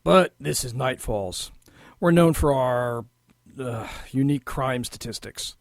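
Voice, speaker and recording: An actor playing a gruff, exasperated police lieutenant in a noir audio drama, sarcastic and grumbling. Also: male voice, mid-range voice, takes it slowly, dry recording, clean studio sound. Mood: irritated, wry.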